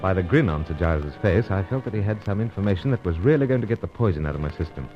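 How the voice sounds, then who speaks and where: Low-voiced, animated British agent, clipped and pipe-muffled; dull old radio drama recording. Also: male voice, fast talker, over loud music. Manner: clipped upper-class British, puffing on pipe. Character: suave British secret agent, pipe-smoking. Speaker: narrator and lead. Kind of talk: 1950s radio drama acting.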